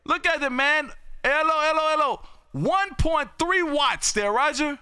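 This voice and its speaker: Very high, bright-voiced exuberant radio man. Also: animated, unhurried, dry recording, clean studio sound. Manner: loud, exuberant, chatty, folksy. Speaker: amateur radio operator hosting a live stream. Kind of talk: casual radio chat and calling. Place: home radio room.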